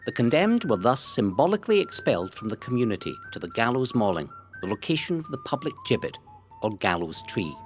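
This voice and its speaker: Guy reading a history audio guide, dramatic storyteller, lively up and down voice, faint music underneath. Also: medium pitch. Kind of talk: scripted historical narration.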